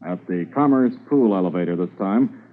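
An actor playing an authoritative, commanding police inspector in a 1950s radio drama. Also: male voice, low voice, expressive, moderate pace. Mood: brisk, authoritative. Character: authoritative police inspector, brisk superior officer. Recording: tinny 1950s radio recording.

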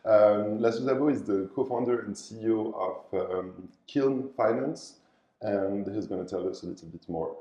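Male podcast host, low voice, upbeat questions, roomy echo. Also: normal speed, lo-fi sound. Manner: upbeat, scripted intro then relaxed questions. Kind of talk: podcast intro and interviewing. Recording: roomy echo with faint music.

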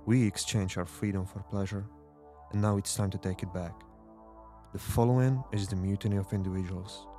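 A man's voice: low voice, fairly even intonation, normal speed, dark tone, soft background music, close to an everyday voice. A man playing an earnest rebel radio host in a dystopian drama, speaking solemnly and rallying the listener in a scripted, intimate address. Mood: solemn, defiant.

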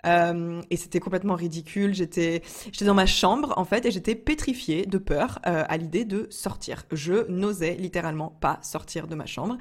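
A young woman from France telling a personal story in a chatty way, with many fillers and restarts. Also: lowish voice. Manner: chatty, frequent fillers and restarts, laughs often. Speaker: entrepreneur vlogger.

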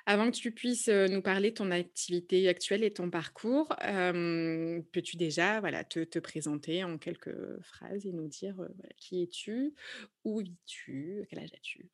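A woman's voice: on the low side, moderate pace. A woman hosting a podcast, speaking informally and feeling her way through her questions in a conversational interview. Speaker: podcast host interviewing a guest.

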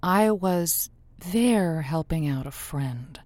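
A woman's voice: low voice, animated, slow pace, darkish tone, no room sound. A woman playing a guarded but kindly host of a lonely hearts radio call-in, speaking hesitantly and encouragingly in an audio drama. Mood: flustered. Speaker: radio advice show host.

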